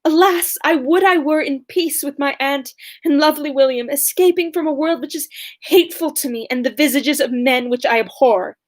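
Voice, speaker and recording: A woman reading aloud as an anguished, indignant young gentlewoman, in an impassioned, declamatory way. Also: high voice, normal speed, close to an everyday voice. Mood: bitter.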